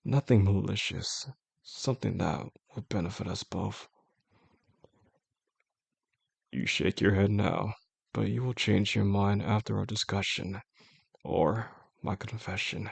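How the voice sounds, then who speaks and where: A man acting as a possessive, obsessive male boss in an intimate audio drama. His voice is low and heavily creaky, with a husky rasp. He speaks slowly and deliberately, coaxing with calm menace and formal phrasing. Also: no echo, exaggerated voice. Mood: intense, confessing. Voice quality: gravelly, husky. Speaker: audio roleplay voice actor. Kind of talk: intimate scripted roleplay monologue.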